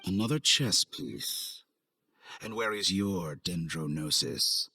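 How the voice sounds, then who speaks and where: Suave, low-voiced scheming villain. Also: male voice, lively intonation, gravelly, bright, forceful tone, husky, heavily acted. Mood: sly, persuasive. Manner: theatrical, condescending, measured and formal. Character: suave, arrogant male villain scientist, coldly amused schemer. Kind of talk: video game cutscene acting. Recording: studio voice over game music.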